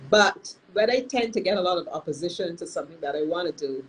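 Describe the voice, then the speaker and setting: A woman hosting a live-streamed talk as a counselor, sounding nasal from illness and speaking in an earnest, measured way with frequent restarts. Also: fairly low pitch, animated, a little hiss or hum, poor audio. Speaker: host and counselor.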